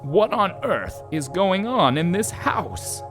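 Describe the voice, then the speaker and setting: A man's voice: high voice, very animated, slow pace, over music, exaggerated voice. A man playing an observant, easily startled donkey who tells his story in a wry, bemused way for a children's audio drama. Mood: amazed, startled.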